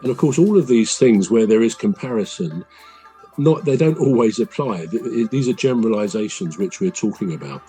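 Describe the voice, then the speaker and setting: A man with a naturally deep voice hosts a relaxed podcast chat. His tone is low and mellow, and he explains things patiently with frequent ums and uhs. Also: faint music behind, low-quality recording. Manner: measured, explains at length with many um and uh. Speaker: podcast host.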